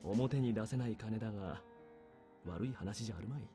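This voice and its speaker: A man voicing a calm, calculating military major in a visual novel, speaking with dry, understated authority. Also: fairly low pitch, very fast, music in the background, lightly acted. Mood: businesslike, persuasive. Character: calm, calculating male military major giving covert orders.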